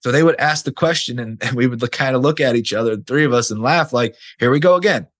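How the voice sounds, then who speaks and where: A man, hoarse and nearly losing his voice, hosting a casual solo podcast and stressing his points with the odd swear. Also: medium pitch, quick pace, a bit bright. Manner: casual, emphatic, swears now and then. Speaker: podcast host.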